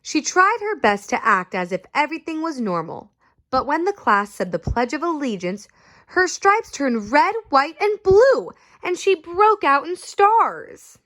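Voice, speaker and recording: A woman reading a picture book aloud to children, expressive and asking her listeners questions. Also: high-pitched, measured pace. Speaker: story reader.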